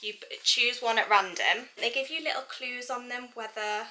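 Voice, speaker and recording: A woman's voice: a little high, normal speed, noisy background. A young British woman chatting informally in a family vlog, thinking aloud as she goes. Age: young adult. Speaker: family vlogger and mother. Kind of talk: casual vlog chat.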